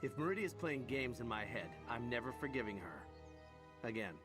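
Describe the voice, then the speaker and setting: An actor playing a wry, roguish heroic knight in a fantasy game, with a conversational delivery. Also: male voice, mid-range voice, sing-song, normal speed, soft background music, natural voice. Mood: wry, troubled. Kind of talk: scripted game dialogue.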